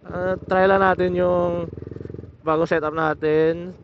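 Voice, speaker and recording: A young man vlogging while riding a motorcycle, explaining his setup in a casual, halting way with many restarts. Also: high voice, level tone, slight background noise. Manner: hesitant, restarts words, explains step by step. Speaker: motovlogger. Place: on a motorcycle outdoors. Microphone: motorcycle helmet intercom over Bluetooth. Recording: muffled helmet intercom audio.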